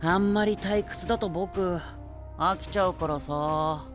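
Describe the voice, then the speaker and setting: A man's voice: high-pitched, unhurried, music in the background. A man playing a cocky, playful pretty-boy knight in an anime audio drama, breezy and teasing with a condescending streak.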